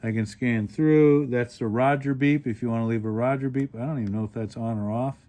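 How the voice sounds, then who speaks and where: A man hosting a casual product demonstration, explaining things step by step in a plain-spoken, halting way. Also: medium pitch, steady tone, dark tone. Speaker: radio reviewer and host.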